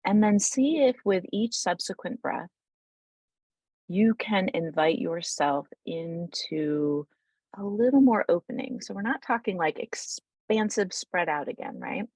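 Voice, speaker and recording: A woman leading an unscripted guided meditation in a soothing, unhurried, informal way, pausing mid-thought as she goes. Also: mid-range voice, animated, darkish tone, breathy edge, no room sound, low-quality recording.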